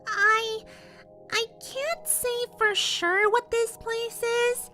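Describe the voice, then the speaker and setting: A woman voicing a solemn, cautious young female pony in a dramatized horror fan fiction, speaking slowly and earnestly. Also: extremely high pitch, fairly even intonation, pushed and bright, faint music behind, heavily acted. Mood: solemn, wary.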